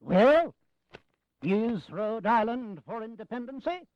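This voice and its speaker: An actor playing an elderly, wry American statesman in an audio drama, speaking deliberately and sardonically. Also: very high-pitched, lively intonation, clean tone, no echo, muffled recording, full character voice. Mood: sardonic.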